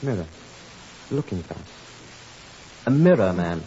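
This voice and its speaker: An actor playing a curt, commanding police superintendent in 1950s radio drama style. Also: male voice, sits low, big pitch swings, unhurried, dark tone. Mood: curt, impatient. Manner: curt, authoritative. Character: gruff, commanding Scotland Yard police superintendent, curt and impatient. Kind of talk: 1950s radio drama acting and first-person narration. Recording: muffled, hissy 1950s radio recording.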